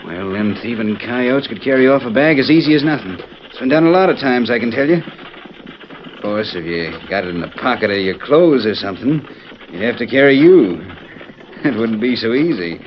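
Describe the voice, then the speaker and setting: An actor playing a folksy, insinuating frontier stagecoach traveler with a rustic Western drawl, in old-time radio western style. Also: male voice, mid-range voice, animated, brisk, lightly acted. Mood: ingratiating, prying. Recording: muffled, hissy old radio broadcast.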